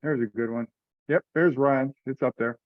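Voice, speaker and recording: A man's voice: medium pitch, moderate pace, no room sound, phone-quality sound. A man leading an informal meeting discussion over conference call audio.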